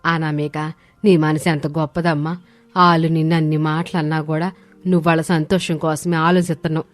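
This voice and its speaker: A woman acts a principled, elderly mother-in-law in a family tale. Her lowish voice is earnest and firm yet caring, over background music. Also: little pitch movement, brisk, no room sound, natural voice. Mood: moved.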